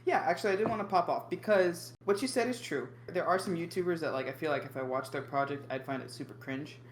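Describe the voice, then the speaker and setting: A man co-hosting a casual reaction podcast, teasing the others with quick jabs. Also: a little high, reverberant, a little hiss or hum. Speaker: podcast co-host. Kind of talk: casual group reaction chat.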